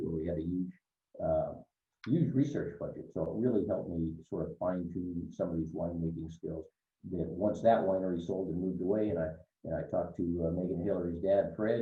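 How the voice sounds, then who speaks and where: An older winemaker from Northern California talks through his wines, relaxed and full of fillers. His low voice sits far from the mic in an echoey room. The sound is thin and phone-like. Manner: relaxed, explanatory, with many fillers. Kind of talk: explaining wines in a virtual tasting.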